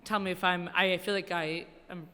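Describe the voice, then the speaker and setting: A woman's voice: on the low side, fairly even intonation, normal speed, bright, forceful tone. A woman hosting a radio show, asking questions in a casual, conversational way. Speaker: radio show host, interviewer. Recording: reverberant room.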